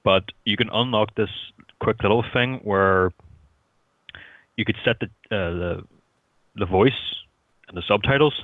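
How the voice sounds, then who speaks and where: A man's voice: lowish voice, measured pace, long pauses, no echo. A man chatting casually and hesitantly as a podcast guest, rambling over a thin voice call. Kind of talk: casual chat. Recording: thin phone-like call audio.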